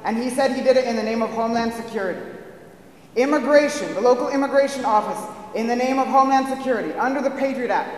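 A man's voice: extremely high pitch, fast. A man gives an impassioned, rousing public speech as a community activist at a hearing. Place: large public meeting hall. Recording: echoey hall from a distance.

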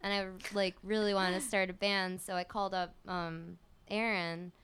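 A woman's voice: mid-range voice, flat delivery, normal speed, fairly bright tone, clear, resonant tone. A woman in her twenties, a band guest rambling casually with lots of fillers. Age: 20s. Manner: rambling, lots of "like", laughs easily. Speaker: bass player, guest. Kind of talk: casual interview chat.

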